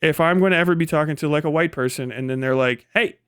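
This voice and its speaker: A man talks to his livestream in a fairly high, very clear voice. He thinks out loud in a casual, animated ramble and curses freely. The sound is close and dry, with little room in it. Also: fast talker, dry recording. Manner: rambling, animated, frequently swearing. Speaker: livestream host.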